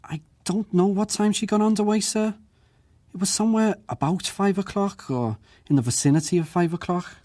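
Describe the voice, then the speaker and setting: A man acting as a working ship's crewman giving testimony at an inquiry, plain-spoken, measured and deferential, in a dramatized reading. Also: sits high, dark tone, dry recording, clean studio sound, natural voice. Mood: deferential, careful. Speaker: witness.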